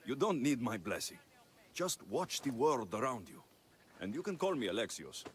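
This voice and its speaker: An actor playing a modest, good-natured young mercenary hero in a video game, with a humble, slightly bemused, reassuring manner. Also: male voice, medium pitch, very animated, normal speed, slight background noise, natural voice. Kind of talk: video game cutscene acting.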